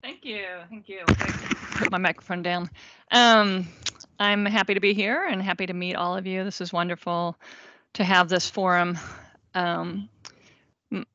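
A woman's voice: mid-range voice, fairly even intonation, measured pace, sharp tone. A woman pharmacist introducing herself at a video meeting, friendly but hesitant with fillers. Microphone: video call. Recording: dry, dull lo-fi call audio.

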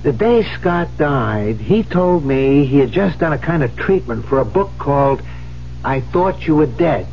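A man's voice: on the high side, animated, a little slow, vocal fry. Pushy male friend, urgent, persuasive, radio drama, muffled hissy broadcast. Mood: coaxing. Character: insistent, pushy male friend coaxing a widow. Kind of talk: 1970s radio drama acting. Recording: muffled, faintly noisy old radio broadcast.

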